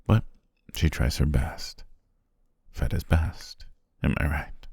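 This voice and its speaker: A man playing a courteous, self-amused demon king in an ASMR roleplay, speaking in a genteel, formal way with a husky, breathy voice. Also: steady tone, takes it slowly, no room sound, studio quality, heavily acted. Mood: playful, gracious. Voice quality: very deep, husky, gravelly, often breathy near whisper. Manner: leisurely, genteel, archly formal with soft chuckles.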